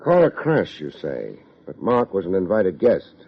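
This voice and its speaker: An actor playing a tough, wry American private investigator in a 1950s radio drama, terse, slangy and deadpan. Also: male voice, sits low, unhurried, creaky, slightly rough, full character voice. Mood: brisk questioning. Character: tough, wry male private investigator narrating his case. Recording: dull old radio recording, music behind.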